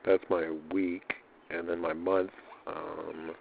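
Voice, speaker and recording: A man with a deep voice talks through a personal audio log. His delivery is casual, rambling and slangy, circling back over his own phrases. The sound is thin and lo-fi, like a narrow radio channel. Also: level tone, slow, vocal fry, a little hiss or hum. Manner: loose, slangy, swearing, repeats himself.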